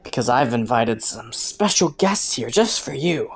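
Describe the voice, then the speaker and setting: Low-voiced, flirty, smug criminal informant played by a woman. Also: expressive, husky, exaggerated voice. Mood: smug teasing. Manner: teasing, playful, drawn-out words with chuckles and sighs. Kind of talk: scripted roleplay audio, intimate second-person monologue.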